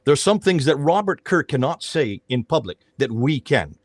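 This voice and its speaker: Emphatic middle-aged man, lively pitch. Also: moderate pace, no echo. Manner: emphatic, repeats phrases, restarts often. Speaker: host of a panel discussion, street preacher. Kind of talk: animated casual panel talk.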